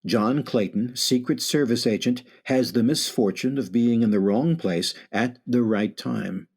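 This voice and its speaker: A man playing a solemn, eerie announcer narrating a suspense radio play in a measured, theatrical way, with music underneath. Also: medium pitch, a little mellow, husky.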